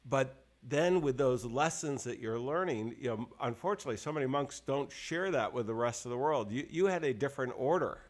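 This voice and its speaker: A man hosting a podcast over a video call, asking long, halting questions full of fillers and restarts. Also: mid-range voice, expressive. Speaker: podcast host. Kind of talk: interview questions.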